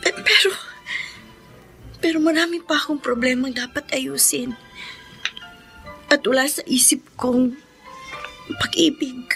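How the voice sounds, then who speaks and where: A woman's voice: sits high, steady tone, a little slow, pauses often, a bit bright, soft background music, heavily acted. A woman playing an anguished, caring young elder sister in a Filipino radio drama, pleading and sighing. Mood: sorrowful, hesitant. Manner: tearful, pleading, sighing, breathy whispers in distress.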